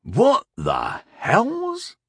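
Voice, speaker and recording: A man voicing a gruff, wry guard captain in a fantasy audiobook. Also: mid-range voice, animated, very slow, fairly bright tone, no echo, studio quality, exaggerated voice. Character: gruff male guard captain, wry, plain-spoken soldier.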